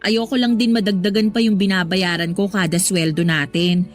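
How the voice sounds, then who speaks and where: A woman's voice: mid-range voice, steady tone, normal speed, faint music behind, close to an everyday voice. A young woman with a Filipino accent playing a hardworking, evasive office employee in a radio drama. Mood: firm, reassuring.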